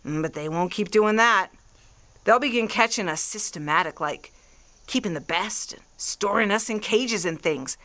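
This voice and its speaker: A woman's voice: mid-range voice, normal speed, sharp tone, lightly acted. A woman playing a fanatical, scheming artillery woman in an audio drama about an invasion, talking in a cocky, folksy, ranting way. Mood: grim, intense. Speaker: acting class student.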